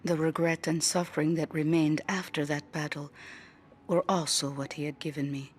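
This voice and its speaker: An actress playing a former fighter pilot in a video game, reflective and measured as she mourns her flight lead. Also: deep voice, flat delivery. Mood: quiet grief. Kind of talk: dramatic interview-style monologue in a video game. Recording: music behind the voice.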